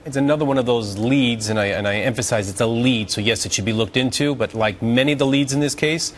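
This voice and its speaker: A man's voice: medium pitch, fast talker, slight background noise. A man from the United States arguing as a legal panelist in a live TV debate, emphatic, colloquial and argumentative.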